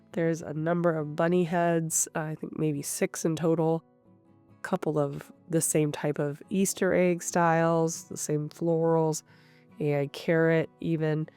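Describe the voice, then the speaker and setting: A woman with a cold, sounding nasal and a little rough, calmly narrating her craft session as the host of her channel. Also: low-pitched. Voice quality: slightly rough. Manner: calm, explanatory, thinking aloud. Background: soft guitar music.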